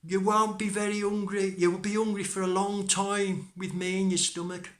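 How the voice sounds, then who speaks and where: A man voicing a tiny, cheeky, plucky boy in a folk tale, excitable and pleading. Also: sits high, moderate pace, pitched above the performer's own voice, natural voice. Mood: bargaining. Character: tiny plucky boy, cheeky and quick-talking to save himself.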